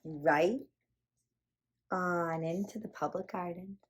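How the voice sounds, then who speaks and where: A woman hosting a children's storytime, reading a picture book aloud with animated, playful emphasis. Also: on the low side, slow. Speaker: children's storytime reader and host.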